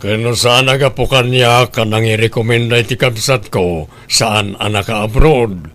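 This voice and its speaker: A man's voice: mid-range voice, fairly even intonation, a little slow, a bit bright, close to an everyday voice. A defiant Ilocano husband played by an actor in a radio drama. He is a stubborn brother-in-law who refuses to be pushed around.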